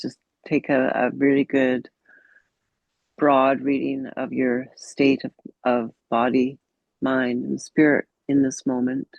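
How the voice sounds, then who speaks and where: A woman guides a mindfulness meditation gently, leaving pauses between short phrases. Her delivery is slow, with a lot of creaky fry in the voice. The recording is dull and muffled. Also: extremely low pitch, no echo. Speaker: meditation teacher.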